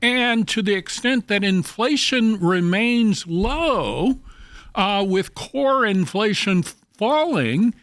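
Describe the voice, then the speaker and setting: A man speaking as an expert commentator in an interview, halting and deliberate as he explains economics. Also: high-pitched, very animated, fairly bright tone, no echo.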